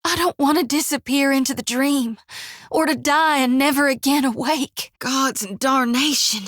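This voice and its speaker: A woman's voice: high voice, normal speed, fairly bright tone, no echo. An actress voicing a devout young woman priest in a fantasy game, talking in an earnest, folksy way. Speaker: companion character in a fantasy game. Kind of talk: video game voice acting. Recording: dry studio.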